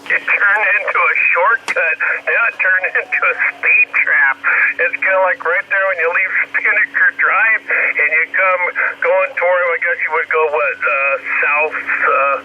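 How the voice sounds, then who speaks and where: A man's voice: high-pitched, steady tone, unhurried. A man chatting casually over two-way radio in a rambling, slangy way. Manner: rambling, slangy, often says dude and swears mildly. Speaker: amateur two-way radio operator. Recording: noisy, muffled two-way radio transmission.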